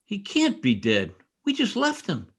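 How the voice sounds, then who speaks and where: An actor playing an earnest, insistent young police trooper in an old-time radio drama, speaking with urgent emphasis. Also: male voice, high voice, huge pitch swings, a little slow, a bit bright, slightly rough, full character voice. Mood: alarmed.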